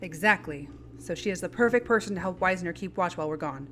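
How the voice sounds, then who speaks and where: A woman playing a steady, commanding marine sergeant in an audio drama, speaking in a measured, decisive way. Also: on the low side, faint music behind. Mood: firm, reassuring.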